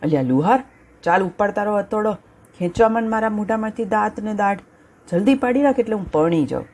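A woman voicing a hungry, bossy male lion who barks gruff, impatient orders in a storybook tale. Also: medium pitch, sing-song, moderate pace, dark tone, voice pushed up.

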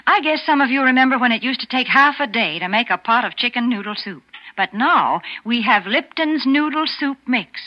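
A woman's voice: fairly high pitch, animated, normal speed. A woman reading a cheerful scripted radio commercial in 1940s style. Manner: cheerful sales patter. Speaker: commercial announcer. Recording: muffled 1940s radio broadcast.

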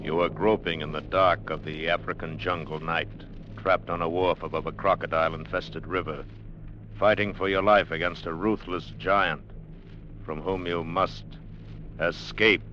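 A man's voice: deep voice, steady tone, unhurried. A man announcing an adventure radio drama in a grave, portentous, dramatic mid-century narration style. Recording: muffled old radio recording, music behind.

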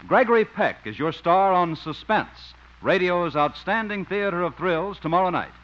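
Male announcer, vintage 1950s radio narration. Polished, fairly high, animated, with muffled old-broadcast sound. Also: moderate pace. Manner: formal, polished. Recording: dull old radio recording with music.

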